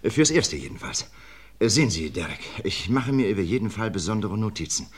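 A husky, low-voiced police doctor. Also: male voice, expressive, a little fast. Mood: earnest. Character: older male police doctor, methodical and self-critical. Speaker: police doctor in a radio play. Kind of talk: vintage radio drama acting.

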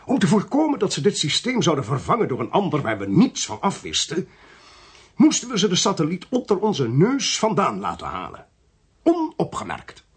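A male actor in a Dutch radio play, voicing a cold, smooth-talking, ruthless intelligence official in a measured, condescending way. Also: a little high, huge pitch swings, exaggerated voice. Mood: confiding, grave.

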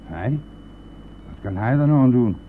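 An actor playing a brazen, evasive bus driver in a radio play, blustering and dismissive, then wheedling, with a gravelly voice. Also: male voice, medium pitch, expressive, measured pace, natural voice. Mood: irritated, offhand. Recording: noisy phone-like old radio play recording.

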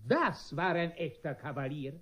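An actor playing a grandly confident, ingratiating gentleman stranger in a comic radio play, speaking with ceremonious, emphatic courtesy. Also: fairly high pitch, animated, unhurried. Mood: ceremonious, flattering. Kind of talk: German radio play comedy acting. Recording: muffled radio drama recording.

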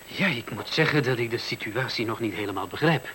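An old radio drama recording with light hiss. a male visitor with a mid-pitched voice, asking questions in a measured, puzzled and politely sceptical way. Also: expressive, pushed and bright, poor audio.

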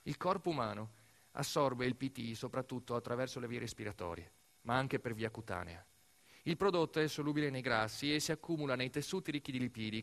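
A man performs a dramatic narrative monologue as an actor, telling the story deliberately in short, weighted phrases. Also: mid-range voice, a bit bright. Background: music.

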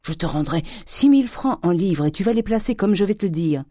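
Audiobook miser: old French father, husky, coaxing, greedy and quick to explode played by a woman. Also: on the low side, animated, mellow, no echo, clean studio sound, voice pushed up. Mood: wheedling, greedy. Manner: coaxing, exclamatory, gold-obsessed. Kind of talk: audiobook character acting.

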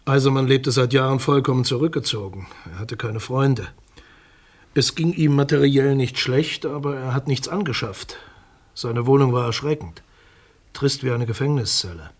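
A man acting as a public prosecutor in a radio crime drama, with a medium-pitched, slightly husky voice. He is formal and guarded, setting out facts in precise, dry official language. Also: moderate pace, close to an everyday voice. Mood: factual, firm.

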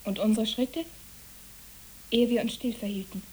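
A wondering child played by a woman tells of a dreamlike walk in a fairly high voice, radio-play style, with faint hiss. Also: steady tone, normal speed, darkish tone, lightly acted, rough recording. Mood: hushed, wondering. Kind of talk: literary radio play acting.

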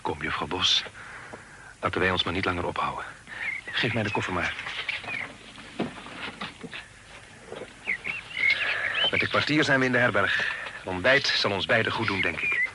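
An actor in a radio play voicing a polite, formal, obliging gentleman traveller. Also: low-pitched, a little fast, pauses often, close to an everyday voice. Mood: courteous. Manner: polite, formal, well-mannered. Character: courteous, obliging gentleman traveller escorting a young lady. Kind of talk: Dutch radio play acting. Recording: noisy old radio recording, clicks.

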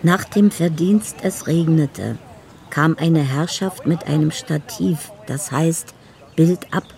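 A woman's voice: low voice, slow, creaky, darkish tone, exaggerated voice. An actress playing a dreamy, lonely woman who muses poetically over her love letters in a radio drama. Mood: dreamy, musing. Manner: poetic, musing. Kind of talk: literary radio drama monologue.

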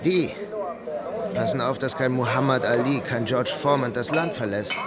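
An actor playing a cynical male journalist at a bar in a radio drama, amid loud chatter. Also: medium pitch, normal speed, close to an everyday voice. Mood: knowing, cynical. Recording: loud crowd chatter behind.

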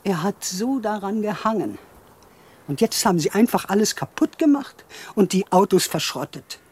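An actress playing a plain-spoken, resigned elderly widow in a radio drama. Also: female voice, medium pitch, lively intonation, moderate pace, darkish tone, breathy edge. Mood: resigned, plaintive. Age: older.

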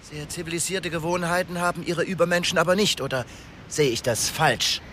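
An actor playing an indignant, sarcastic husband who confronts scientists in a radio drama. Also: male voice, a little high, a little fast, pushed and bright. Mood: bitterly sarcastic. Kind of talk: German radio drama acting. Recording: noisy, with ambient sound effects.

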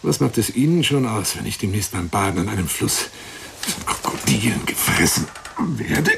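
A man's voice: lowish voice, sing-song, normal speed, creaky, background noise, full character voice. An actor playing a lonely, boastful, needy middle-aged man in a theatrical radio play monologue, pompous and effusive. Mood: wounded, reproachful. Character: lonely fat armchair adventurer, boastful, needy, pleading. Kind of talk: radio play monologue, addressing a woman by phone.

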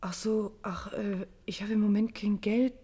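An actress playing a fragile, weary woman in her thirties in a German radio drama, halting and stammering, often breathy. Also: mid-range voice, fairly even intonation, a loud crowd, lightly acted. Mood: evasive, weary. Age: 30s. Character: fragile, weary, withdrawn woman of thirty-two working night shifts.

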